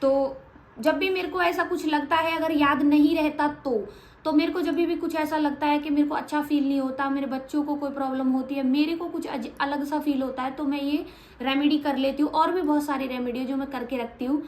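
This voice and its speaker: High-voiced frank woman vlogger. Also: fairly even intonation, a little fast, darkish tone, echoey room. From Haryana, India. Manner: frank, matter-of-fact, a little blunt. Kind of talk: casual Q&A vlog.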